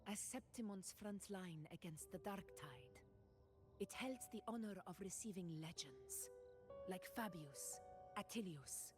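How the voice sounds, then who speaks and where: Low-pitched, solemn warrior woman. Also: lively intonation, unhurried. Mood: solemn, reminiscing. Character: proud, solemn woman warrior haunted by her lost hometown. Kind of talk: video game voice acting, storytelling. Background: game music.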